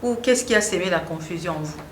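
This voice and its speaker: A woman's voice: on the low side, expressive, quick pace. A woman interviewing a guest in a conversational way, attentively putting questions and rephrasing the answers. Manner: attentive, frequent short acknowledgements, rephrases answers. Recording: echoey room, faint background noise.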